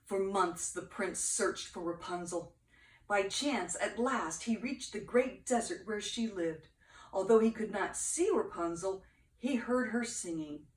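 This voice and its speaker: Older woman reading in an echoey room. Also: medium pitch. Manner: expressive, dramatic pauses. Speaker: grandmother reading to grandchildren. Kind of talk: storybook read-aloud with playful asides. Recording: echoey room, distant mic.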